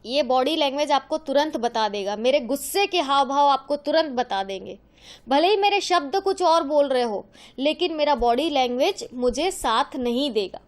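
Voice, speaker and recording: A woman's voice: high voice, lively intonation, moderate pace. A woman teaching in an explanatory, conversational way, mixing in English words and rephrasing her points. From India. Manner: conversational Hindi mixed with many English words; didactic, repeats and rephrases points. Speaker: teacher, lecturer. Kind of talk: explanatory lecture with everyday examples.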